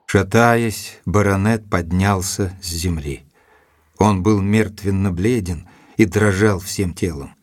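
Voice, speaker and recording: An actor playing a gentlemanly detective's companion who recounts the case in a measured, literary audio-drama narration. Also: male voice, deep voice, dry recording. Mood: tense storytelling. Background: music.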